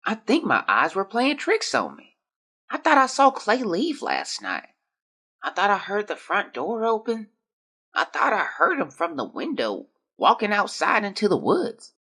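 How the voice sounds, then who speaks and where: An actor playing a sick, frightened young child reading out a diary in a plaintive, hesitant, husky voice. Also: medium pitch, huge pitch swings, unhurried, pushed and bright, exaggerated voice. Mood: anxious, confused. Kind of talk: dramatic diary monologue.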